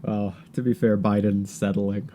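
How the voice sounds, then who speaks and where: A man co-hosting a podcast in casual political chat, halting and repeating words as he searches for his thoughts. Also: on the low side, flat delivery, mellow. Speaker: podcast co-host.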